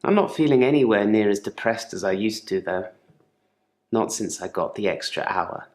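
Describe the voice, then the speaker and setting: A man's voice: fairly low pitch, lively intonation, moderate pace, darkish tone, close to an everyday voice. A man playing a troubled gravedigger in therapy for a video game, speaking in a guarded, dryly sardonic, articulate way. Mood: wry, reflective. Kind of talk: naturalistic acted monologue in therapy session. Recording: echoey room.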